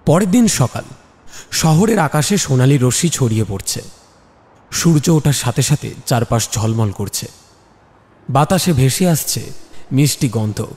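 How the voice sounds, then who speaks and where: A man's voice: mid-range voice, mellow. A man giving dramatic scripted narration of a detective story. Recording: long reverb, music underneath.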